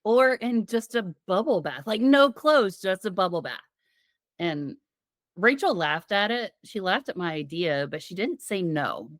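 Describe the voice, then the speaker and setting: A woman co-hosting a casual comedy podcast, with a slightly raspy voice from a sinus infection, rambling and giggling as she tells stories. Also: mid-range voice, big pitch swings, a little slow, dry recording, studio quality. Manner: giggly, rambling, playful storytelling. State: getting over a sinus infection. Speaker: podcast co-host.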